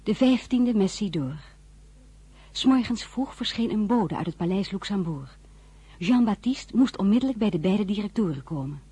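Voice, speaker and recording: A soft, dark-toned female voice narrates as a young woman in a radio drama. She is very breathy and husky, intimate and tender, with a wry streak. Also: medium pitch, lively intonation, normal speed, dry recording. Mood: reflective.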